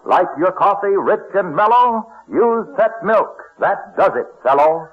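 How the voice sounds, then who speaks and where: An actor playing a cheerful radio pitchman of the 1940s, reciting with mock-poetic flourish. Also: lively intonation, measured pace. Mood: mock-grand. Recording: dull old radio recording, faint noise.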